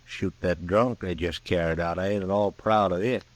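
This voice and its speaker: An actor playing a menacing gunfighter in an old western radio drama, drawling, insolent and taunting. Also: male voice, deep voice, fairly even intonation, normal speed, no echo, lightly acted. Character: cocky, menacing Old West gunman.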